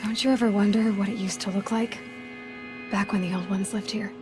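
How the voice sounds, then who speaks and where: A woman's voice: steady tone, fairly quick, over music, natural voice. A woman voicing a determined young huntress. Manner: focused, resourceful, speaks low when sneaking. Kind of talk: video game cutscene acting.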